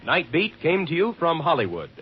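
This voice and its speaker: A man's voice: a little high, huge pitch swings, moderate pace, over loud music. A man reading scripted announcements as a polished, showy 1950s network radio announcer. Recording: muffled vintage radio broadcast.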